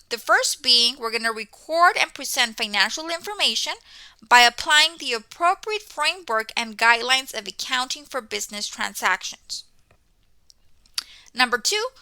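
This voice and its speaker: A woman's voice: sits high, bright, forceful tone, dry recording. A woman in her forties, a native Spanish speaker from Mexico, welcoming students to an accounting course and often checking in with "okay?". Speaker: accounting instructor.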